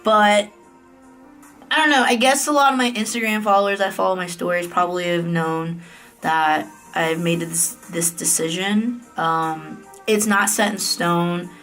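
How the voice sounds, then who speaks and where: A woman's voice: on the low side, steady tone, measured pace, a bit bright, soft background music. A woman in her late twenties from the United States, giving a casual, candid vlog update in a chatty way, with fillers and self-corrections. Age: late 20s. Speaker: vlogger. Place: office private room at night.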